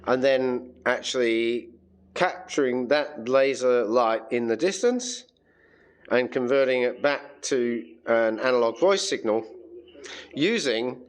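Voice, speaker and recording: An Australian man giving a casual, chatty hands-on tech demonstration, thinking aloud as he handles his gear. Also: medium pitch. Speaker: amateur radio hobbyist presenting a demonstration.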